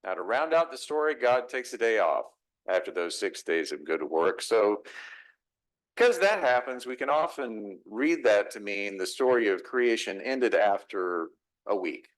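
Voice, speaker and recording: Middle-aged man, Texas accent, low voice with wide swings, reflective pastor. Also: very animated, measured pace, poor audio. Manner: reflective, conversational preaching with rhetorical questions. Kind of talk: sermon. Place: church sanctuary.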